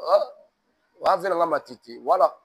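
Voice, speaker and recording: A man's voice: a little high, moderate pace, fairly bright tone, husky. A man from DR Congo with a Congolese French accent, speaking in an emphatic sermon-like cadence and mixing French with Lingala. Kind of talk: preaching-toned interview talk.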